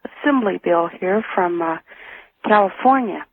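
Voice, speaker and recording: An American man calling a radio show, speaking slowly and haltingly as he reports to the host. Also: high-pitched, expressive, vocal fry, slight background noise. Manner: slow, halting, deliberate. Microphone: telephone. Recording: narrow tinny phone line on radio.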